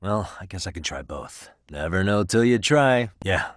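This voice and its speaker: A man's voice: low-pitched, animated, moderate pace, dry recording, studio quality. A man with an American accent voicing a cool, determined private detective in a video game, speaking in a casual, wry, conversational way. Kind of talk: video game voice acting, naturalistic dialogue.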